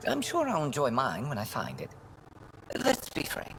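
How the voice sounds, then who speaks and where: A man's voice: medium pitch, huge pitch swings, moderate pace, creaky, a bit bright, a little hiss or hum, full character voice, low-quality recording. A man playing a wry, shrewd retired alien special-forces major in a video game, speaking with dry, frank irony. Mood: dryly wry. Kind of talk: video game character dialogue.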